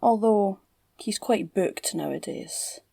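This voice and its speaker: A woman with a Scottish accent playing an obsessive, flirtatious female villain in an ASMR roleplay, teasing and drawling in a breathy, gravelly voice. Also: mid-range voice, slow, dark tone, dry recording, clean studio sound, exaggerated voice. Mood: teasing, coy. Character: obsessive, flirtatious, psychotic female villain, captured crime boss. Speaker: audio roleplay voice actor.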